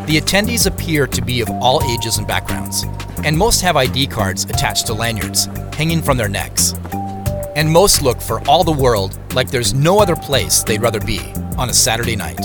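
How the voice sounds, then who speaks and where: An actor playing a reserved, observant man who narrates his own story in measured, descriptive audio drama style. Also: medium pitch, expressive, lightly acted. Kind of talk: scripted first-person audio drama narration. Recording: music behind narration.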